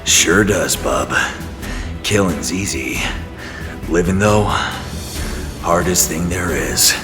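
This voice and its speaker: A man playing a gruff, weary, world-worn superhero in an audio drama, with a low gravelly growl and terse, slangy speech. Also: slow pace. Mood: defiant. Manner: terse, tough, folksy slang. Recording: long cavernous reverb, music underneath. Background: dramatic music.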